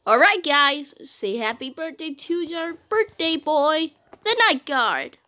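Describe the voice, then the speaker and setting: A woman's voice: sits high, animated, takes it slowly, sharp tone, heavily acted. A woman voicing a cheerful animatronic cat who announces shows like a host, in a horror game. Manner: announcer-like, addressing an audience. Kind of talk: showman-like character voicelines.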